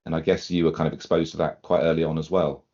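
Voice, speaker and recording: A man with an extremely deep voice co-hosts a podcast and asks thoughtful questions. He tends to repeat the start of a sentence, and the sound is slightly dull and lo-fi. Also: little pitch movement, fast talker, darkish tone. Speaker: podcast co-host. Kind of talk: casual podcast chat.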